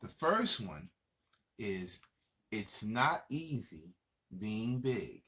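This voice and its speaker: A man's voice: fairly low pitch, sing-song, very slow, bright, forceful tone. A man reading picture books aloud to children as a host, in a casual, conversational way with asides to the young listeners. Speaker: children's story reader and host.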